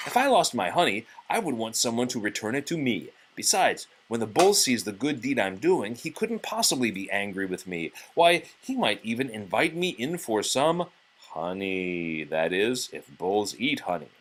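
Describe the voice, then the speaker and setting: A reader voicing a gentle, naive storybook bear, mild, earnest and trusting. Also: mid-range voice, sing-song, moderate pace, slight background noise, natural voice. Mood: earnest musing. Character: gentle naive honey-loving toy bear, polite and trusting.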